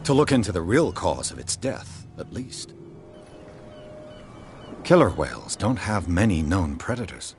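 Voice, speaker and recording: A man's voice: low voice, big pitch swings, unhurried, long pauses, darkish tone, soft background music. A man playing a serious investigator in a video game, terse and formal.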